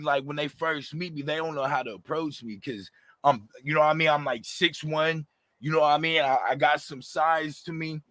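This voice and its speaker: Raspy man in his thirties, animated and passionate, bright edge, dry close sound. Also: a little high, no room sound. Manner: animated, passionate, slangy, lots of fillers. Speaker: rapper, interview guest. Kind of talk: casual interview talk.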